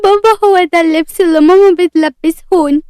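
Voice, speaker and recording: A woman's voice: very high voice, fast talker, darkish tone, no room sound, clean studio sound, voice pushed up. A woman voicing an innocent, tearful six-year-old girl with a plaintive childlike manner in an audio story. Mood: tearful, complaining.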